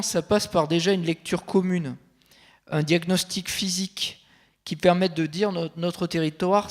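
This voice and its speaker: High-voiced man consultant at a panel. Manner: measured, thinking aloud with frequent fillers and restarts. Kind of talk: panel talk at a conference.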